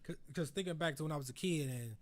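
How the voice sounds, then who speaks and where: A man's voice: a little high, fast talker. An American man co-hosting a podcast, chatting reflectively in slangy, sometimes profane speech and repeating phrases as he goes. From United States. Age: adult over 25. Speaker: podcast co-host. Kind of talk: casual reflective conversation.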